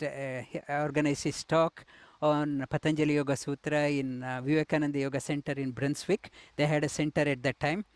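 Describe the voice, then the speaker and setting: A middle-aged man from India, a yoga teacher, telling his life story with many pauses, fillers and restarts. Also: fairly high pitch, smooth, no room sound. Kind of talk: personal life story interview.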